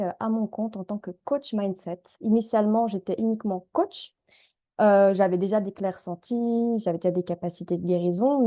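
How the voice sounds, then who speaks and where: Woman in her thirties, soft and mellow, calm healing-session guide, hesitant pauses. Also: medium pitch. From Switzerland. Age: 30s. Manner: gentle, calm, with frequent hesitations. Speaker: energy healer and mindset coach. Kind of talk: live group healing session and guided prayer.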